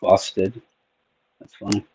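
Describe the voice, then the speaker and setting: A man's voice: slow pace, a little mellow, low-quality recording. A man co-hosting a livestream, chatting casually, playful and jokey. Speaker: livestream co-host. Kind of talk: casual chat.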